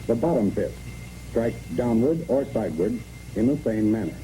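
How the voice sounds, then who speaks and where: A man's voice: mid-range voice, expressive, moderate pace, warm tone, faint music behind. An American man reads a scripted 1960s military instructional narration in clipped, step-by-step commands. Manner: clipped, imperative, step-by-step delivery. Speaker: training film narrator. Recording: noisy, muffled 1960s training film soundtrack.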